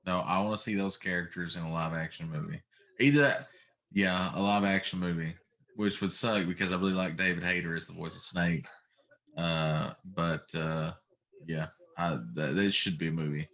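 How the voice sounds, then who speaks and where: A man's voice: low voice, fairly even intonation, moderate pace, fairly bright tone. A middle-aged man chatting casually and giving his opinions as a talk show host.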